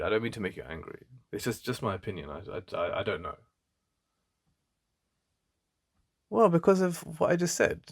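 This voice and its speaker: A man with an English accent playing a jealous, protective best friend in an intimate ASMR roleplay, speaking in a halting, conversational way with emphatic repetition. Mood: apologetic, insistent. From England. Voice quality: deep.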